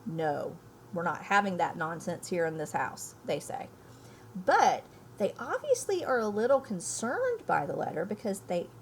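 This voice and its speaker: A woman's voice: fairly high pitch, a little mellow, a little hiss or hum. A woman teacher giving a read-aloud lesson, speaking emphatically and thinking aloud for her young listeners. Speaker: elementary school teacher. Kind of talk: read-aloud lesson, storybook reading and explaining.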